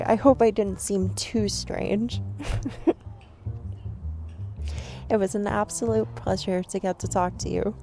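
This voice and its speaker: A woman's voice: medium pitch, warm tone, natural voice. A woman playing a shy, nervous young woman stranger at a cafe in an ASMR roleplay, rambling and second-guessing herself. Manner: rambling, self-conscious, overthinking.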